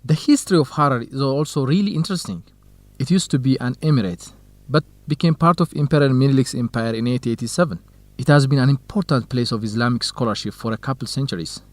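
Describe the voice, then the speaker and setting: A man's voice: mid-range voice, quick pace, no room sound, natural voice. Khalid, a friendly researcher played by a man, dry studio sound. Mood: enthusiastic. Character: Khalid, friendly curious Ethiopian male field researcher. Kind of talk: scripted educational radio drama dialogue.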